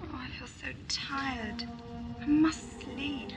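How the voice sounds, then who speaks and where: A woman's voice: sits high, fairly even intonation, slow, over loud music. A woman playing a weary, timid settler in a sci-fi audio drama, faint and plaintive. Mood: weary, pleading. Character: weary, timid young female settler, pleading and helpless.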